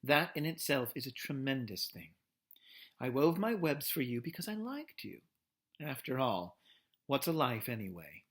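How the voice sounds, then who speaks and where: A wise, gentle spider woman who talks softly and steadily, as a man reading aloud puts her on. She soothes and calmly scolds, her voice lower and quieter than the storyteller's, in a storybook reading. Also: normal speed, slightly rough, heavily acted. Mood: reflective. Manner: soft, calm, gently scolding. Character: wise, calm female spider, gentle and dying.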